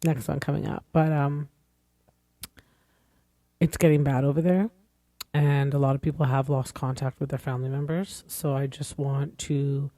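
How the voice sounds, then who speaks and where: Earnest woman in her forties, mellow voice. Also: very deep voice, little pitch movement, normal speed, pauses often, no room sound. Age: 40s. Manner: earnest, rambling, conversational. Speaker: podcast host. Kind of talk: casual podcast monologue.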